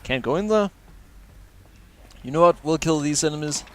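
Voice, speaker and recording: A man's voice: on the high side, animated, normal speed, a bit bright, a little hiss or hum. A man playing through a video game, reading in-game logs aloud and stumbling over words, with many "uh" fillers and small chuckles. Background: faint game music.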